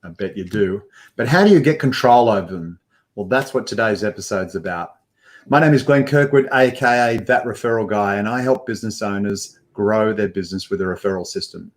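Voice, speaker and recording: A man's voice: lowish voice, a little fast. An older man hosting a live video call interview from an indoor studio, talking in an upbeat presenter manner with frequent fillers. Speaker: host. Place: indoor studio with banner backdrop. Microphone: headphones with mic, webcam framing.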